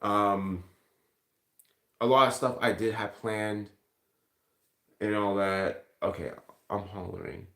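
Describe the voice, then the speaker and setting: A man's voice: lowish voice, fairly even intonation, slow pace, long pauses, sharp tone. The sound is echoey, like a phone in a bare indoor room. A man talks casually to his livestream viewers. His delivery rambles and is thick with profanity. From Ontario, Canada. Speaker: live streamer. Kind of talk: casual livestream chat, replying to comments.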